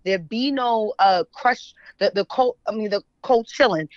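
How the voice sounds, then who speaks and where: A woman's voice: mid-range voice, a little slow, no echo. A middle-aged woman with a mixed Philadelphia and New York accent tells stories in a casual, slangy way, often checking in with the listener. The sound is dry and a little dull and muffled. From West Philadelphia, United States. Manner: animated slangy storytelling, checks in with "right?". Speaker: radio show guest, writer. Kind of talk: casual interview chat, reminiscing.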